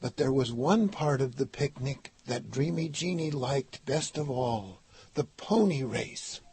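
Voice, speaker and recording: A man's voice: mid-range voice, animated, measured pace. A man narrating a children's story as a read-aloud. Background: music.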